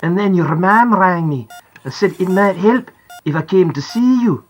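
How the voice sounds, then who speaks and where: A woman reader acts out a remorseful Scottish dad from an audiobook. The voice is husky and wobbly, pleading and earnest, as he says how sorry he is. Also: fairly low pitch, lively intonation, normal speed, darkish tone. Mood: upset, apologetic. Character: remorseful Scottish man, a father, upset and apologetic. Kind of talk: audiobook character acting.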